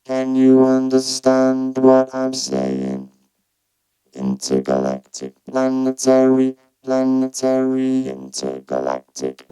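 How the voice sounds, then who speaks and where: A man speaking through a vocoder as a flat synthetic robot, his words carried on keyboard synth notes. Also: medium pitch, takes it slowly, over loud music, rough recording. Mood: robotic, flat. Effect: vocoder robot filter over synth notes. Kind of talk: slow demonstration phrases on keyboard notes.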